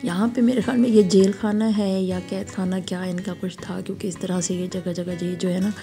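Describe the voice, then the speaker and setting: Woman, hoarse and gravelly, low flat voice, chatty tour narration, faint music underneath. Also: a little fast. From Pakistan. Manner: chatty, admiring, guiding viewers along. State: sore throat, voice hoarse. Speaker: travel vlogger. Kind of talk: casual voice-over tour narration.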